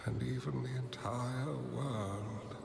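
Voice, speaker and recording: An actor playing a wise old male hermit strategist in a game cutscene, measured and gently reassuring. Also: mid-range voice, fairly even intonation, gravelly, echoey room, long reverb tail, over music. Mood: calm, reflective. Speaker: game character.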